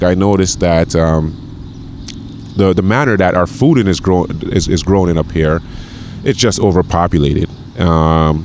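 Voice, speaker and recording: A man in his forties from Grenada talks in a very deep, low voice. He rambles in a loose, casual way and often stumbles over repeated words and fillers. There is a faint hiss of noise behind him. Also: darkish tone. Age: 40s. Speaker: podcast guest, chef. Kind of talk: casual conversation.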